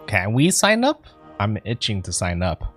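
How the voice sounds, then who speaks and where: Guy streamer w low voice, chatty game commentary, reacting out loud, faint music. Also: wildly swooping pitch, unhurried. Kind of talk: casual let's play commentary.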